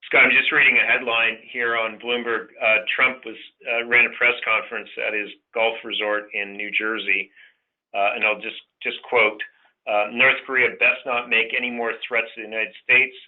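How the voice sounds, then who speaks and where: A man giving off-the-cuff financial commentary as a portfolio manager on a conference call, in a halting way. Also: mid-range voice, little pitch movement. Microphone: telephone conference line. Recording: thin phone-like conference line.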